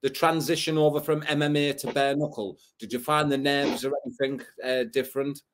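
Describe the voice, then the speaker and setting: A man in his thirties asks questions in a friendly, easygoing way during a video call interview. His voice sits at an ordinary middle pitch. He speaks into a webcam from an indoor room. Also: flat delivery, a little fast. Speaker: interviewer host.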